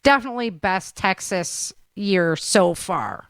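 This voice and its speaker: A woman's voice: medium pitch, expressive, a little slow, clean tone, dry recording, studio quality. A woman hosting a podcast in a casual, rambling, conversational interview style. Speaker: podcast host.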